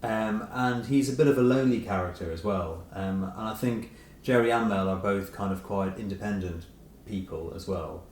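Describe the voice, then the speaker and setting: A man's voice: low voice, a little mellow. A middle-aged man, an author being interviewed, talking reflectively and tentatively as he rephrases his thoughts. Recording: roomy echo with clear background noise.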